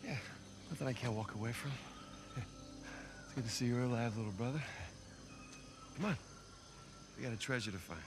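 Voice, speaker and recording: A man's voice: mid-range voice, moderate pace, long pauses, noisy background, lightly acted. A man playing a stubborn, roguish older-brother treasure hunter in a video game, talking in a natural, brash and insistent way. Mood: casual, relieved.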